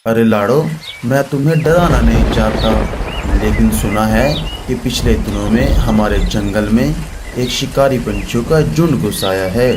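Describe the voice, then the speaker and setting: Man voicing a caring, mid-pitched cartoon crow. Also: a little slow, noisy background, lightly acted. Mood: concerned. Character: concerned, protective brotherly male crow.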